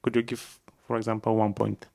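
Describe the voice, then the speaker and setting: A man in the audience thanking the speaker and asking a question in halting, careful phrasing. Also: on the low side, completely flat delivery, creaky, a little mellow.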